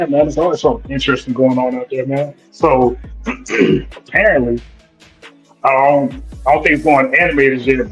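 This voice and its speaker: Easygoing, slightly raspy male co-host. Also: medium pitch, muffled recording. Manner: easygoing, joking. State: slightly raspy, a little under the weather. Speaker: podcast co-host. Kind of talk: casual anime podcast chat.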